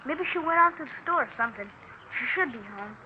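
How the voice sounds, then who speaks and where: A small American boy in a vintage radio drama. The voice is high, slangy and earnest, like an insistent young witness. The recording is dull with faint noise. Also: animated, fast talker. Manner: plain-spoken, insistent, slangy. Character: eager, earnest seven-year-old boy, streetwise little witness. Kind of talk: 1940s-50s radio drama acting.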